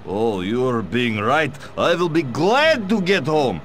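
A man's voice: mid-range voice, sing-song, slow pace, fairly bright tone. An actor playing a stiff male Soviet agent with a Russian accent and broken, formal English in a video game. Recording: game audio with faint music.

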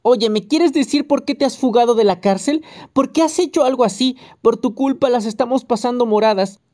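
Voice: a little fast, lightly acted. A reader voicing a hysterical young mother who shrieks and scolds into a phone in an audiobook. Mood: shrill scolding. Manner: shrill, yelling, scolding.

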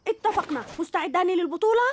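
A woman's voice: very high-pitched, expressive, fast talker, noisy background. A woman voicing a six-year-old boy superhero who leads his team in a dubbed cartoon, sounding bossy and urgent. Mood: leading. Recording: studio voice with loud cartoon music.

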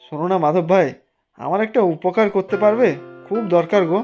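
A woman's voice: mid-range voice, a little fast, warm tone, dull audio, soft background music, close to an everyday voice. A woman voicing a friendly, helpful village neighbour man in a cartoon, in a chatty manner. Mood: pleading. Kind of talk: Bengali cartoon dubbing.

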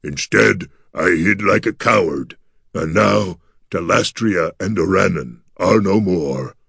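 Deep, gravelly, mournful gargoyle. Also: male voice, lively intonation, no echo, clean studio sound, full character voice. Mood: glum, sorrowful. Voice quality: gravelly, husky. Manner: slow, mournful delivery. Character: glum, timid, gentle gargoyle with dog-like face.

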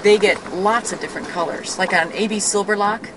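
A woman leads a casual garden tour, explaining plants with real enthusiasm. Her voice sits at an ordinary middle pitch. She is picked up outdoors on a handheld camera mic with clear background noise. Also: fairly quick. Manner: informative, enthusiastic about plants. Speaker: nursery guide. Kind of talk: casual explanatory walk-and-talk. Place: outdoor nursery display garden.

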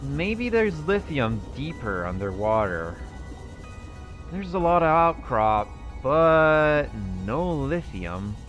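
A man's voice: a little high, big pitch swings, slow, fairly bright tone, highs cut off, faint music behind. A young man roleplaying through a survival game, musing aloud to himself with nervous outbursts. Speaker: gaming YouTuber roleplaying a character. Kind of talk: in-character gameplay commentary.

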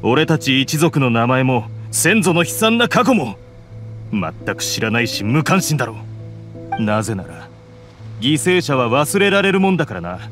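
Cold scornful oni outlaw for a game, mid-range voice rising and dropping sharply. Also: male voice, mid-range voice, very animated, moderate pace, fairly bright tone, soft background music. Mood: bitter, scornful. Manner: cold, scornful, heavy delivery. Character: bitter male blue oni outlaw, scornful and grim. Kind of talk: Japanese game voice acting.

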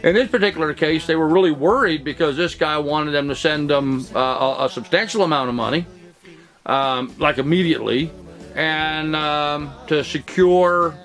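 A man's voice: on the high side, normal speed, a bit bright, faint music behind. A middle-aged man who works as a real estate broker, talking casually to the camera in a cluttered home office, rambling with lots of fillers and restarts. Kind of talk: casual talking-head vlog.

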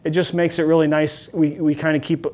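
A man's voice: a little high, level tone, a little fast, darkish tone. A middle-aged man, a police officer, giving an informal explanation to camera in an indoor meeting room.

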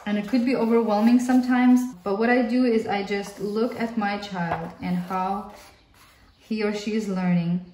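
A woman with a Russian accent talks through children's workbooks at a table, friendly and explanatory, doubling words for emphasis. Her voice sits at an ordinary pitch and carries a roomy echo from a mic set well away from her. A faint hiss runs underneath. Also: steady tone, normal speed, mellow, recorded from a distance. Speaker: homeschooling mother. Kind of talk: casual workbook flip-through review. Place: indoor room at a table.

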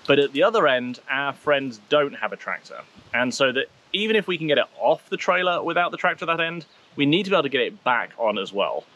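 A man in his thirties, a vlogger, talking outdoors in a wooded clearing and explaining things step by step. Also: medium pitch, very animated, fast, bright, forceful tone, highs cut off. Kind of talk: casual explainer vlog.

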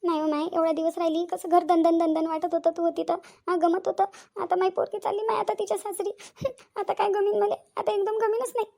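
A woman's voice: very high voice, little pitch movement, quick pace, mellow. A woman voicing a doting, sorrowful middle-aged village mother in a family drama, lamenting plaintively with a Varhadi Marathi accent. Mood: tearful, resigned. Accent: Varhadi Marathi from Vidarbha. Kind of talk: amateur family drama acting.

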